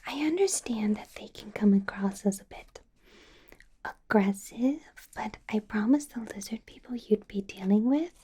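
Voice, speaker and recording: A woman acts out a female vampire boss in an ASMR roleplay, whispering in a soft, dark, breathy voice. She is possessive and doting, coaxing the listener with an undercurrent of menace. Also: mid-range voice, animated. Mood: coaxing, businesslike. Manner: slow, coaxing, with small chuckles and pauses.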